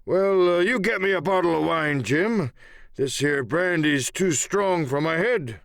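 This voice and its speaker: A man voicing a sly, wounded old pirate coxswain in an audiobook, with wheedling, halting, talk. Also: on the high side, expressive, gravelly, no room sound, clean studio sound, voice pushed up. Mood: wheedling, hesitant.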